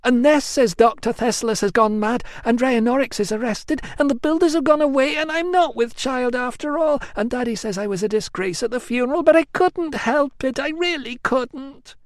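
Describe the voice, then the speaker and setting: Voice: sits high, normal speed, few pauses, dry recording, studio quality, voice pushed up, close to an everyday voice. A narrator voicing a self-pitying young Roman woman who pleads and complains in tearful bursts. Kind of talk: audiobook acting.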